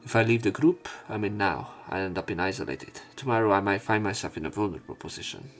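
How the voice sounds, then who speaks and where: Deep-pitched male, visual novel read-aloud, dramatic narration, soft background music. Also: quick pace. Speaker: let's play narrator. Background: faint game music.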